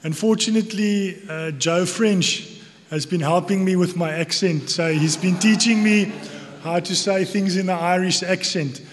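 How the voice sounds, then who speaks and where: A man with a South African accent giving a sermon as a church elder, speaking earnestly in deliberate phrases with repetition for emphasis. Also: sits high. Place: church hall. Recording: long reverberant hall echo.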